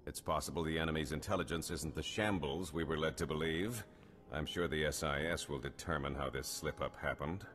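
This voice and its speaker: An actor playing a formal, assured male colonel in a video game, speaking in a measured, official way. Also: rumbling low, fairly bright tone, a little hiss or hum. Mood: resolute. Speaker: military officer character. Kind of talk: video game cutscene acting. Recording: long hall reverb with music behind.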